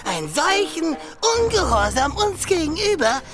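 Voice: high-pitched, sing-song, normal speed, over music. An actor playing a cold, menacing young gang leader in an audio drama. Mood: cold, threatening.

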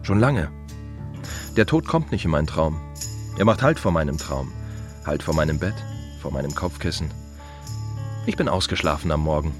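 A man's voice: a bass voice, animated, normal speed, long pauses, faint music behind. A man playing a plain-spoken gravedigger in a radio play monologue, musing wryly in short, repeated phrases. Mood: brooding, reflective. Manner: reflective, wry, clipped fragments with repeated phrases. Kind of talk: German radio drama monologue, conversational.

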